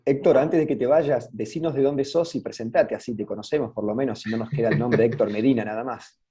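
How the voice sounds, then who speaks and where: A man's voice: mid-range voice, quick pace, dark tone, slightly rough. A man with a Rioplatense Spanish accent moderating an online business webinar over a video call, inviting guests to speak.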